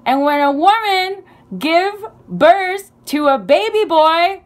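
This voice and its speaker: Slow, halting woman, Chinese native. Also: very high voice, animated. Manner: slow, halting, playful, with fillers and self-corrections. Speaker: video creator. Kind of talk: casual explainer lesson.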